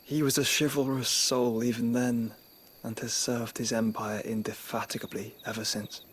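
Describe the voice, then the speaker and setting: A man's voice: mid-range voice, flat delivery, normal speed, a little hiss or hum, close to an everyday voice. A man playing a weary, ailing, philosophical duke in a game cutscene, speaking in a formal, eloquent way. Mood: wistful, measured. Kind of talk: dramatic video game cutscene acting.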